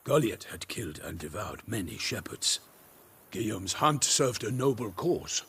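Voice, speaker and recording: Animated, courtly knight errant. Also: male voice, lowish voice, unhurried, gravelly, slightly rough, heavily acted. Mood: earnest. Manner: flowery, formal, declamatory. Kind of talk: video game voice acting. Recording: game scene with faint background noise. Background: outdoor ambience, horse hooves.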